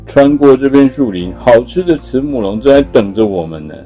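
A man's voice: medium pitch, lively intonation, a little fast, dark tone, soft background music, close to an everyday voice. A man playing a gloating big tyrannosaurus. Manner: gloating, chuckling, emphatic. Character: big menacing male tyrannosaurus, sly and gruff.

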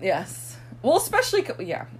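Animated, congested woman co-host. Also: medium pitch, moderate pace, a little hiss or hum. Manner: over-explaining, animated storyteller. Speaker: podcast co-host. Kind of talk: casual chat.

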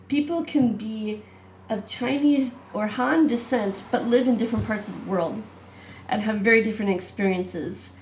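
A woman's voice: on the high side, reverberant, slight background noise, rough recording. A woman hosting a casual video-call interview, chatty with lots of "um"s and laughs. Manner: chatty, warm laughs, many "um"s. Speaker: host, artistic director.

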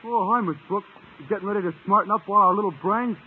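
An actor playing a dim-witted, good-natured, guileless teenage student with a husky voice in a 1950s radio comedy. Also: male voice, high-pitched, very noisy, close to an everyday voice. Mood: cheerful, guileless. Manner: slow-witted, guileless, garbled grammar. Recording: tinny, band-limited old radio recording.

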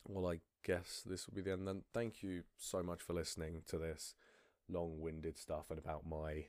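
A man's voice: low-pitched, level tone, moderate pace, darkish tone, no echo, studio quality. A young man talking casually on his own podcast, rambling with frequent restarts. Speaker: podcast host. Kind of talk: casual solo podcast monologue.

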